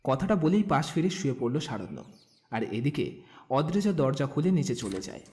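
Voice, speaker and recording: A man narrating a scripted romantic story with expressive storytelling delivery. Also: mid-range voice, normal speed. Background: background music.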